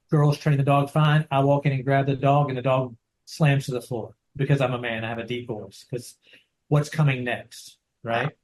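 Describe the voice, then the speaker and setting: Soft-spoken dog trainer man, rambling. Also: mid-range voice, poor audio. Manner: soft-spoken, rambling with many restarts and "right?". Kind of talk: casual interview over video call. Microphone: video call.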